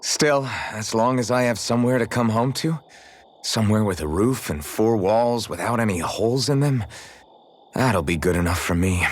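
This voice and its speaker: Young swordsman, video game hero, low voice, expressive swing, easygoing, casual, gently teasing. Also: normal speed. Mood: calm, reflective. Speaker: voice actor in a fantasy video game. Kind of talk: video game cutscene dialogue.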